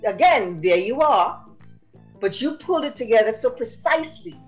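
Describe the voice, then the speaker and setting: A woman's voice: fairly high pitch, animated, moderate pace, soft background music. A woman hosting a podcast interview, speaking effusively and full of praise for her guest. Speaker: podcast host. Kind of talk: interview conversation.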